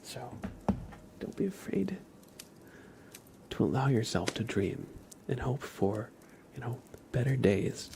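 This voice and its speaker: A man hosting an ASMR livestream, chatting casually with viewers in a soft, breathy half-whisper, sometimes drawing words out and repeating them. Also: mid-range voice, big pitch swings, slow pace, slight background noise. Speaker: livestream host.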